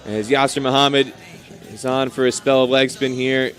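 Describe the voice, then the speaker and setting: A man's voice: mid-range voice, fairly even intonation, normal speed, fairly bright tone, soft background music. A man doing live cricket commentary in an enthusiastic, talkative way, explaining things as he goes. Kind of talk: live sports commentary with chatty asides.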